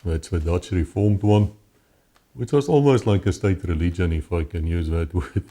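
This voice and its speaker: Middle-aged male guest, Afrikaans South African accent, low and very mellow, recounting his own story. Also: moderate pace. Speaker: interview guest. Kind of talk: personal testimony, conversational storytelling.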